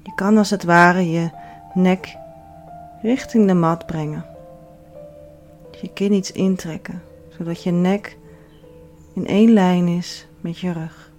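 Low-pitched woman guiding relaxation over soft music. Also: fairly even intonation. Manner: soothing, measured instructions with pauses. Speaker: yoga nidra instructor. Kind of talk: guided relaxation meditation. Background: background music.

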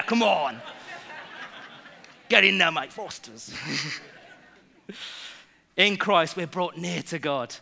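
A young man from England, preaching a sermon to his church in a lively way and joking with the congregation. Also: high-pitched, vocal fry, a little hiss or hum.

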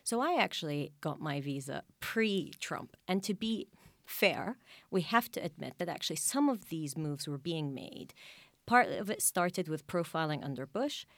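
Woman guest, lowish voice, firm and precise, dry studio sound. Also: animated, measured pace, fairly bright tone, no echo. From Sweden. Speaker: panel guest. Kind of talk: studio discussion.

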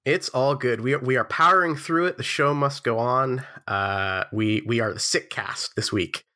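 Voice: mid-range voice, normal speed, pushed and bright. A podcast host chatting casually while still congested from the flu, rambling with lots of fillers and restarts. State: stuffy, congested from a cold.

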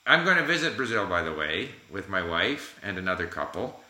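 A man with a bright, cutting tone and a lively, swinging delivery. He chats casually, encouraging his guest and stressing points by saying them twice. The sound is roomy with a clear echo. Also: low voice, fairly quick. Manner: encouraging, emphatic, repeats key phrases. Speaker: language-learning host. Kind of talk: casual conversation. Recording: echoey room.

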